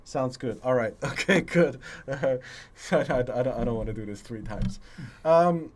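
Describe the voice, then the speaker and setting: A man hosting a loose livestream, his voice rough and deeper than usual, strained from illness. He talks in stops and starts, full of fillers and restarts, with the odd curse thrown in. His pitch sits in an ordinary middle range. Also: expressive. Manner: halting, many fillers and restarts, casual swearing. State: voice strained from a long lung infection. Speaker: livestream host. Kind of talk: casual livestream chat.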